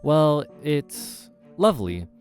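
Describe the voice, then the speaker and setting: A man's voice: a little high, very animated, slow pace, a little mellow, soft background music, pitched above the performer's own voice. A man voicing a polite, wary waiter in a visual novel game, courteous and dryly understated. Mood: wary politeness. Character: polite, wary waiter serving monstrous diners.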